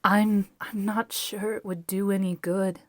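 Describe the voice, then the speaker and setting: A woman's voice: mid-range voice, level tone, unhurried, slight background noise, natural voice. A woman playing a troubled, earnest heroine in an audio drama, hesitant and downcast in her delivery. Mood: doubtful. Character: despairing wheelchair-bound female informant, doubtful yet earnest.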